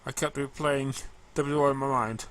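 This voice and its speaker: A man narrating amateur fan fiction in a halting read, now and then stumbling over words. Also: mid-range voice, steady tone. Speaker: story narrator.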